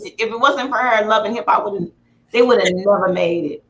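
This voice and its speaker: A woman's voice: medium pitch, moderate pace, a bit bright, rough recording. An American woman hosting a casual chat, exclamatory and dramatic, acting out the remarks she quotes. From Houston, Texas, United States.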